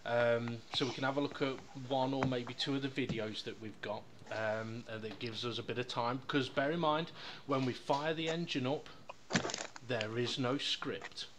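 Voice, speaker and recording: A man hosting a podcast in casual chat, relaxed and steering the show along. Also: medium pitch, normal speed, noisy background. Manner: relaxed, lots of fillers, runs the show. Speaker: podcast host.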